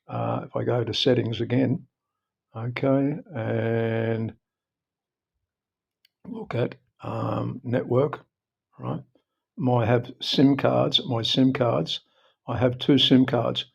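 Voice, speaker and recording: A man with an Australian accent giving an informal, unscripted how-to talk in a halting, repetitive, explanatory way, recorded a little dull on a device microphone. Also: medium pitch, gravelly, darkish tone, breathy edge. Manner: halting, explanatory, often repeating himself. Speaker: presenter of a phone how-to video.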